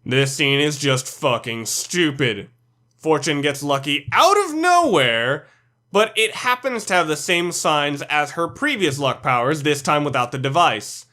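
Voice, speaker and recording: A man narrates a scripted countdown with a slightly high voice. His delivery is blunt and opinionated, like someone laying out a personal ranking. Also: lively intonation, measured pace, sharp tone. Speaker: narrator of a video game ranking list.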